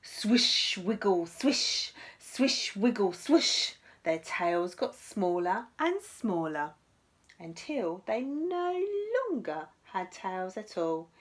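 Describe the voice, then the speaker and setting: A woman teacher reading a storybook aloud to young children in an expressive, dramatic way, with asides to the listeners. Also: medium pitch, slow pace.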